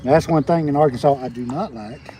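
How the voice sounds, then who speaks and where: A man in his fifties with a Southern US accent, rambling in a folksy, halting way during a casual outdoor livestream. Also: mid-range voice. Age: 50s. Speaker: homesteading livestream host, logger. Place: outdoors on a rural roadside. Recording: outdoor noise with birds. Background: birds and rural outdoor ambience.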